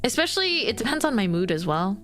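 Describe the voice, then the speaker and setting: A woman's voice: a little high, sing-song, fast talker, a bit bright, soft background music. A young woman streamer chatting casually with her viewers as she draws, rambling with fillers and frequent giggles. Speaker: streamer chatting with chat while drawing. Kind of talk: casual live-stream chat.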